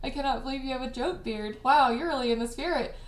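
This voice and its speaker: A woman's voice: sits high, fast talker, reverberant. A woman hosting a casual group chat on a stream, giggly and informal, teasing her guests as she introduces them. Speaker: host of a cast party stream.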